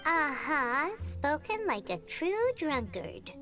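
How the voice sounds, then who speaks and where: A woman's voice: high voice, big pitch swings, slow, bright, forceful tone, full character voice. A woman voicing a tiny, childlike fairy companion in a video game, blunt and teasing, grumbling with exasperation. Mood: sassy, exasperated. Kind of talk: animated video game dialogue. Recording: game music behind the voice.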